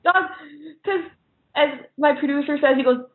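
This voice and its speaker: A woman's voice: high-pitched, moderate pace. A woman chatting casually as an interview guest, often laughing as she talks. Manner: giggly, laughs often while talking. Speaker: interview guest, horror filmmaker. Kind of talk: casual chat. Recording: thin, narrow phone-like line.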